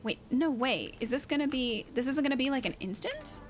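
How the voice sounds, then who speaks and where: A woman's voice: high voice, wildly swooping pitch, fairly quick, faint music behind. A woman livestreaming a game, chatting casually in a playful, slangy way. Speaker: streamer, amateur voice actor.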